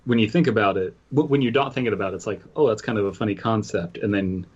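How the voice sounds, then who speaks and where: A man co-hosting a casual podcast, reading documents slowly with hesitations and filler words. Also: lowish voice, darkish tone, husky. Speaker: podcast co-host. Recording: muffled lo-fi podcast mic.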